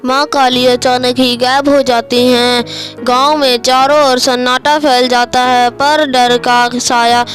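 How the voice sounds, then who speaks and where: A woman's voice: high-pitched, little pitch movement, slow, a bit bright. A woman narrator reading a scripted horror story. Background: music.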